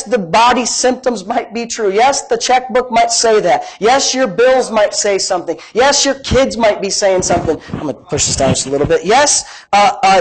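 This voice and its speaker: A man's voice: high-pitched, low-quality recording. A man preaching in a conversational teaching style, with a big voice and an emphatic, folksy manner that repeats phrases for emphasis. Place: live-streamed church service, amplified.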